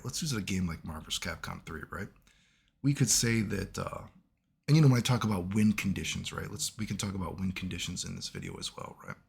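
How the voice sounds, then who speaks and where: Deep raspy guy with a sick hoarse voice, lecturing his viewers and going "right?" constantly. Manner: keeps asking "right?" as he lectures, swears casually. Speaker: YouTube video host. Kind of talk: casual analytical commentary.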